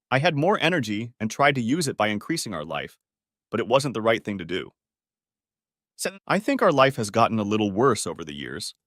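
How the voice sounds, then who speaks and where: A middle-aged man narrating a first-person story in a lively, expressive storytelling manner. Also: mid-range voice, no echo, clean studio sound.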